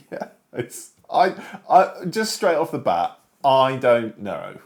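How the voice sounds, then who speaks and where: A man co-hosting a casual book discussion, talking in an animated, jokey way and acting out imagined lines. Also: medium pitch, slow pace. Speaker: show co-host. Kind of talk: casual chat about books.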